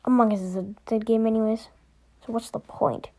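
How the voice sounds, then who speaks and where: A woman performing dialogue as an amateur voice-over for a homemade animated story. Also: on the high side, brisk.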